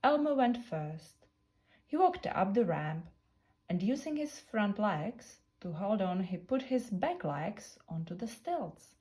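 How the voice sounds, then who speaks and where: A woman narrating a children's picture book in a deliberate, expressive storytelling manner. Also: mid-range voice. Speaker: storybook reader.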